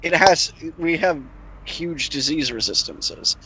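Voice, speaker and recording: A man in casual conversation during a tabletop roleplaying game, with a husky, sharp-edged voice. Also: fairly high pitch, expressive, slow pace, slight background noise, rough recording.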